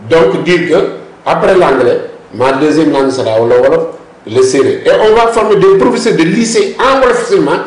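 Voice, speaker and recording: A man's voice: fairly high pitch, animated, moderate pace. A man, an expert guest who explains at length and insists on his points, often cutting in with repeated words. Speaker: language policy expert guest. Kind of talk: discussion interview. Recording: roomy echo.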